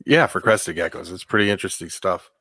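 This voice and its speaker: A man with a deep voice chatting casually as a guest, hesitant and full of ums. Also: brisk, bright, forceful tone, breathy edge, no room sound, clean studio sound. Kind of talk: casual chat.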